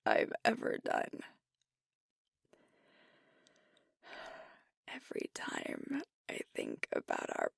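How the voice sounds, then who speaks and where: A woman playing a young, earnest, self-doubting alpha werewolf pack leader in an intimate ASMR roleplay, speaking softly and hesitantly in a breathy half-whisper. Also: a little high, no echo, studio quality, full character voice. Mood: wistful. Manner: hesitant, confiding, slow and reflective. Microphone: close mic, dry.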